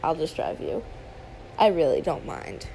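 A woman's voice: low voice, huge pitch swings, measured pace, creaky, dark tone, soft background music, heavily acted, poor audio. A woman playing a friendly, trusting young female YouTuber in a conversational ASMR roleplay, chatty and confiding with some hesitation. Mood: friendly, hesitant. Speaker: roleplay audio performer.

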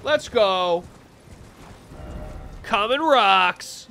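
A young man streaming a game from a small home room, sounding nasal and congested, rambling and breaking into loud outbursts. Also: high voice, expressive, drawn-out words, soft background music. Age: young adult. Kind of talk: live gameplay commentary. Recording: game sound clearly underneath.

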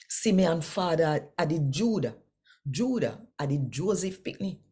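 An older woman with a Jamaican accent reading scripture in Patois in a measured, deliberate way. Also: fairly low pitch, mellow, slightly rough. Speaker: Bible reader and presenter. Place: small home room, indoors.

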